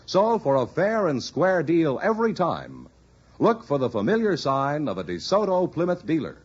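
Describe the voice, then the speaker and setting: A man's voice: a little high, wildly swooping pitch, moderate pace, slightly rough. An American man announcing on 1950s radio, reading scripted sponsor messages with a polished, emphatic sales delivery. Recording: dull lo-fi vintage radio recording.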